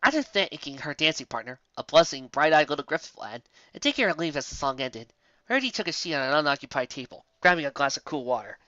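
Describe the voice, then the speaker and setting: A man's voice: a little high, big pitch swings, fast, sharp tone, no room sound, highs cut off. A man reading a fantasy story aloud in a theatrical, dramatic way. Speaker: narrator, reader. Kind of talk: expressive amateur fan fiction reading.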